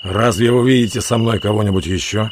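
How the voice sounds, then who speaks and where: An actor playing a bold, resolute outlaw leader in an audio play, terse and commanding. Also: male voice, on the low side, steady tone, a little fast, slight background noise, natural voice. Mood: cool, defiant. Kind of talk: Russian audio play acting.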